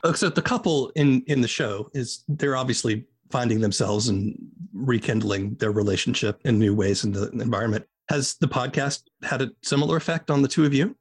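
Husky male podcast interviewer. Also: medium pitch, fairly quick. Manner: thoughtful, roundabout questions. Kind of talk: conversational interviewing.

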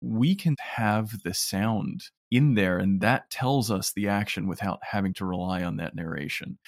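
A man with a fairly low voice talks through his production work as an interview guest. The delivery is conversational and the sound is dry, with little room. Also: animated, measured pace, no room sound. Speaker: audio drama producer and writer, interview guest.

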